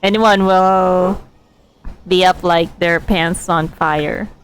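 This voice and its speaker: Slow-paced, chatty woman streamer. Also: on the low side, steady tone, clean tone, poor audio. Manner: giggly, chatty. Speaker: game streamer. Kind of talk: casual live gameplay commentary.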